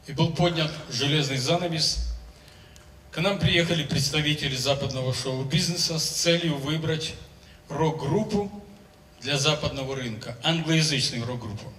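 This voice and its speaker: A man in his seventies, a singer, telling his story on a concert stage into a handheld microphone, in a measured, declamatory way. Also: a little high, a bit bright, slight background noise. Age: 70s. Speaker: singer giving a testimonial on stage. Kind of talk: stage speech to an audience. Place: large concert hall stage. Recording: roomy hall sound from a distance.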